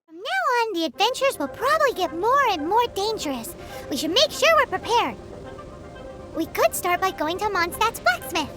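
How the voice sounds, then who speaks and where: A woman voicing a tiny, childlike, eager fairy-like girl companion with a squeaky voice in a video game. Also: big pitch swings, moderate pace, sharp tone, soft background music, exaggerated voice. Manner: refers to self in third person, eager. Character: tiny childlike floating girl companion, chirpy, blunt, third-person talker.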